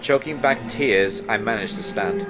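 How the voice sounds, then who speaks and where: A man's voice: fairly low pitch, lightly acted. Man acting a haunted investigator over music. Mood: pained, shaken. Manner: deliberate, suspenseful storytelling in short phrases. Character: shaken, anxious male investigator in a horror tale. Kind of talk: first-person dramatic narration in audio drama.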